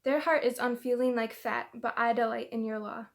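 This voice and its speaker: A woman's voice: on the high side, fairly even intonation, moderate pace, creaky. A woman reading verses of scripture aloud. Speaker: reader.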